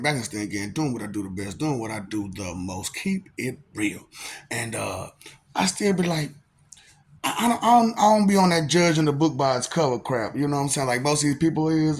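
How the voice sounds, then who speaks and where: A man in his thirties speaks in a soft, polite-sounding voice, pitched a little higher than most men. He tells a personal story in a laid-back, slangy way, circling back with fillers and breaking into laughs along the way. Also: expressive, a little fast, a little hiss or hum. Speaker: vlogger telling a personal story. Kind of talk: casual storytelling monologue.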